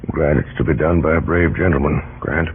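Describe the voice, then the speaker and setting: An actor playing a grave, humane, weary middle-aged president in an old-time radio drama, speaking in a measured, solemn, plain way with a husky voice. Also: male voice, very deep voice, steady tone, a little hiss or hum. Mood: gentle, grave. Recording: muffled, tinny old radio recording.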